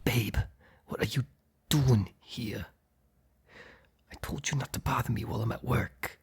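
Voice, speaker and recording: A man playing a gruff, short-tempered mob boss in an ASMR roleplay, with a gravelly, husky voice. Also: medium pitch, unhurried, slight background noise. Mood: hushed, exasperated. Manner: commanding street-tough slang with crew, flustered hushed stammer with partner. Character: gruff, short-tempered male mob boss hiding his work from partner.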